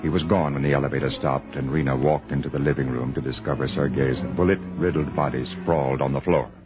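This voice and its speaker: A man with a low voice narrates an old radio thriller in a dramatic, scripted style. Music plays clearly behind him, and the vintage recording sounds dull. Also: fairly even intonation, fast talker.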